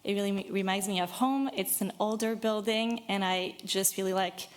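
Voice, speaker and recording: A woman's voice: mid-range voice, steady tone, moderate pace. A woman in her thirties from France, originally from Brittany, speaking earnestly and a little nervously at a public meeting, with many fillers. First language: French. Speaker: business owner addressing a city board.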